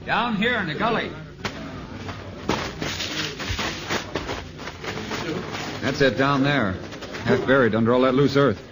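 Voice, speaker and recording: A wisecracking male forest ranger in an old radio play acted by a man, with a medium pitch and very animated delivery. He is brisk and plainspoken. The recording is muffled and clearly noisy. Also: close to an everyday voice. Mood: hearty. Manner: plainspoken, wry, colloquial. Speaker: actor in a radio drama. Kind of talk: 1950s radio acting.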